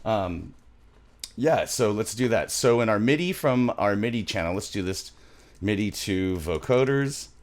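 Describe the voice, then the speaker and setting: A middle-aged man presenting a music software tutorial in a casual, loose, thinking-aloud way, recorded on a studio mic in a small home room. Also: on the low side, expressive, a little slow. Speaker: music production tutorial host.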